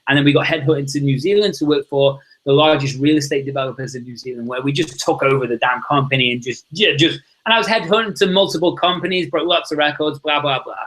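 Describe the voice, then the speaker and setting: A man's voice: fairly high pitch, steady tone, fast talker, few pauses, a bit bright, low-quality recording. A man, chatting casually and enthusiastically as a podcast guest, rambling and calling everyone "man" and "dude". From Liverpool, England. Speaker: podcast guest, success coach. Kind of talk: casual conversation.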